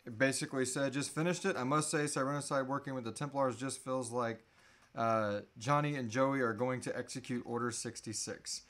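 A man in his thirties hosting a casual live question-and-answer chat, often halting and trailing off mid-thought. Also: mid-range voice, steady tone, fairly bright tone. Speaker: host answering audience questions. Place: small indoor room.

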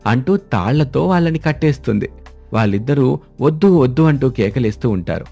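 Guy narrating a story, mellow and a bit gravelly, music behind. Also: mid-range voice, expressive, a little fast.

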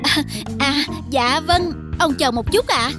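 A young bank clerk from a cartoon, officious and formally polite. Her voice sits high. Music plays clearly behind her. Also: slow pace. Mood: apologetic, deferential. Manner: formal, clipped customer-service politeness. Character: officious young female bank teller, dismissive then deferential. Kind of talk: cartoon dubbing. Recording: music under the voice.

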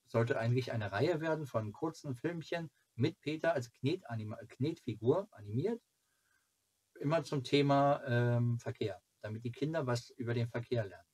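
A man in his forties from Germany chatting casually to camera as a fan channel host, in a halting, rambling way. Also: medium pitch. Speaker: fan club video host. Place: small cluttered home room.

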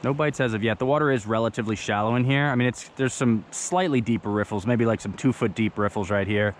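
A young man vlogging as he fishes outdoors by a creek, chatting in a relaxed, eager way into an action camera he wears. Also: lowish voice, quick pace, a little hiss or hum. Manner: relaxed, chatty, enthusiastic about fish. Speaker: fishing vlogger. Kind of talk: casual outdoor commentary. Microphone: action camera on the body.

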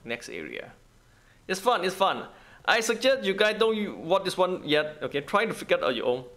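A man who is not a native English speaker, giving a casual gameplay walkthrough in halting, repetitive short phrases. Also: sits high, very animated, fairly bright tone. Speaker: gaming guide host.

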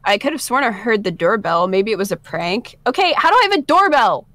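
A woman's voice: mid-range voice, big pitch swings, fairly quick, fairly bright tone, dry recording. A young woman co-hosting a gameplay video, chatting casually and reading visual novel text aloud. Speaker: gaming video co-host.

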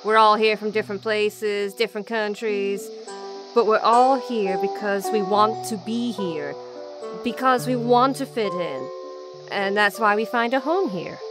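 A woman's voice: mid-range voice, measured pace, music in the background, lightly acted. A woman playing a friendly, concerned college residence staffer in a radio drama. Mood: reassuring.

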